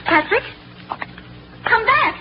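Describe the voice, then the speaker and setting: Shrill, desperate wife, noisy radio. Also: female voice, very animated, takes it slowly, exaggerated voice. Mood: frantic, desperate. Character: distraught wife being committed, desperately crying out. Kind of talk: 1940s radio melodrama acting. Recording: muffled, very noisy 1940s radio broadcast.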